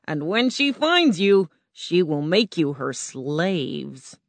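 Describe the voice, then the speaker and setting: A narrator voicing a male guard of a gate, speaking slowly with a mocking edge. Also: mid-range voice, very animated, vocal fry, no room sound, full character voice. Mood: ominous. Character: gatekeeper man, mocking.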